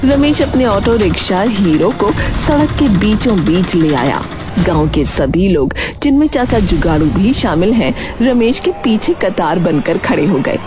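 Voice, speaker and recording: A woman narrating an animated story in a dramatic, scripted storytelling style. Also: mid-range voice, a little slow, over music.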